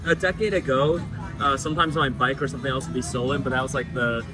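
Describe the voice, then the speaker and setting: A man from the United States, a guest chatting casually in an interview, relaxed and full of fillers. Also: mid-range voice, quick pace, over music. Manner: relaxed, frequent fillers and laughs.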